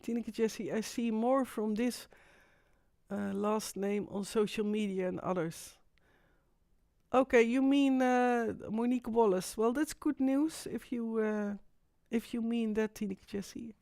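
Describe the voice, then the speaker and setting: A Dutch woman hosting a live stream, talking in a rambling, halting way with frequent restarts. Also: a little high, dark tone, dry recording, studio quality. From Netherlands. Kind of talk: casual live stream monologue.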